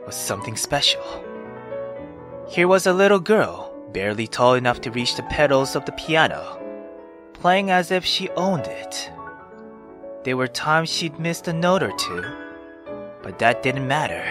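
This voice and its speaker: A let's player narrating a visual novel aloud in an expressive storytelling manner. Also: mid-range voice. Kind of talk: dramatic visual novel narration with brief reactions.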